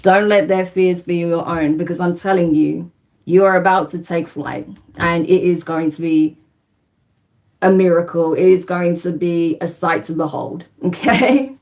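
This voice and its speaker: A woman's voice: on the low side, a little slow, a little mellow. A woman giving a spiritual tarot reading on a livestream. She talks in a soothing, reassuring way and keeps asking "right?" and "okay?". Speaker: tarot reader.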